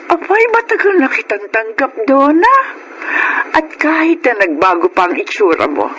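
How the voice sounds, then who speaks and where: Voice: high voice, sing-song, moderate pace, a bit bright. A performer playing a loving older mother in a Tagalog radio drama, with a Filipino accent and a tender, reassuring manner. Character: loving, supportive older mother of grown child. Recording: noisy radio drama recording.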